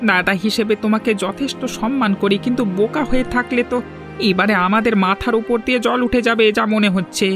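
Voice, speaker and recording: A man's voice: high-pitched, quick pace, close to an everyday voice. A man plays a young village farmer. He is an earnest younger brother who protests his case in a cartoon, with faint music underneath. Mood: aggrieved. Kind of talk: moral-story cartoon dubbing.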